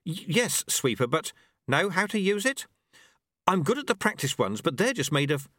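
A man's voice: on the high side, big pitch swings, normal speed, fairly bright tone, no room sound, clean studio sound, pitched above the performer's own voice. A man voicing a nervous, earnest young male apprentice who talks hesitantly in an audiobook. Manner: hesitant and stammering. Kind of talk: audiobook character voice.

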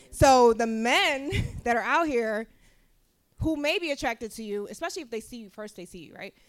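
A middle-aged woman from Philadelphia with a Philly accent, giving blunt, profane advice as co-host of a live podcast. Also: on the high side. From Philadelphia, USA. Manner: blunt, profane, laughing, preachy. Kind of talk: live podcast talk before an audience.

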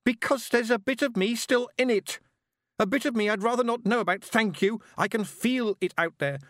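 A man's voice: wildly swooping pitch, unhurried, dry recording, clean studio sound, heavily acted. A man voicing an upset, defiant young witch girl, wailing and snappish, in audiobook style. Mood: furious, defiant. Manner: wailing, snappish, high-strung. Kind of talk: audiobook character acting.

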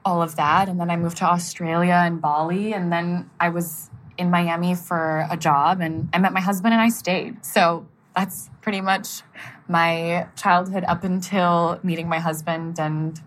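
A woman's voice: on the low side, flat delivery, measured pace. A young woman from Germany whose first language is German, speaking with an American accent as a podcast guest. She chats casually, with lots of fillers and frequent laughter. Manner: chatty, laughs often, many fillers like "like". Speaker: guest, model.

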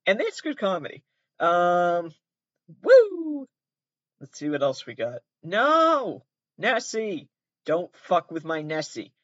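A man's voice: high voice, wildly swooping pitch, slow pace, a bit bright, dry recording, dull audio. A man hosting comedic commentary on pranks, rambling, swearing and joking at his own expense. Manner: rambling, swearing, self-deprecating.

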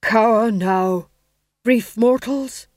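A woman voicing a nervous young male actor who declaims his lines slowly and haltingly, then talks timidly. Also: on the high side, a little mellow, no echo, clean studio sound, pitched above the performer's own voice. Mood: pompous. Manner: halting, slow overdone declaiming.

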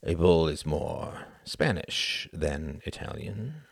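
A man voicing a cool, bored, dry-witted gentleman who speaks languidly. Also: rumbling low, big pitch swings, takes it slowly, creaky, husky, no echo, exaggerated voice. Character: cool, wry male former professor's assistant.

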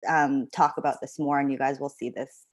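A woman's voice: very deep voice, little pitch movement, fairly quick, no room sound. A young woman from Canada and the Philippines, a law student guest, telling her story reflectively and laughing now and then. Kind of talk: podcast interview.

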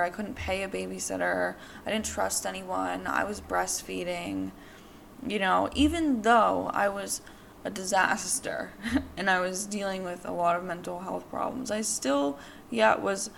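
Podcast host, female, 20s, gravelly, candid and rambling, faint hiss behind. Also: medium pitch, a bit bright. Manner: candid, rambling, with many fillers. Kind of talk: personal monologue.

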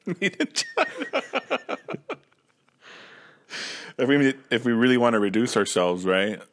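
A man's voice: medium pitch, huge pitch swings, slow, pauses often, a bit bright. An American man co-hosting a podcast in casual chat, rambling and slangy, often repeating himself as he gets to his point. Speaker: podcast co-host.

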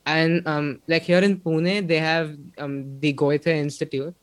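A man's voice: fairly high pitch, steady tone, unhurried, no echo. Young Indian man, rambling casual chat. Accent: Indian English. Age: young adult. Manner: rambling, many fillers like "like" and "um". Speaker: guest. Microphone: video call.

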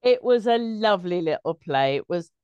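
Low-voiced woman, close dry sound. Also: animated, normal speed, clear, resonant tone, no room sound, muffled recording. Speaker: guest reviewer, performer. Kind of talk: casual chat about a play.

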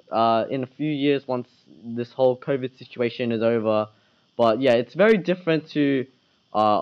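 Teen boy school podcast host, mid pitch, casual and earnest, dull lo-fi sound. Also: smooth. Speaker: student interviewer. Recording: dull low-fidelity school recording.

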